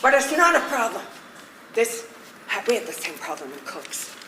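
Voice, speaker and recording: A woman's voice: a little high, very animated, moderate pace, bright, forceful tone. A woman on stage playing an effusive, exclamatory actress who worships her playwright, in a comedic play. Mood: excited. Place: live stage at a one-act play festival. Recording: roomy stage sound with noise.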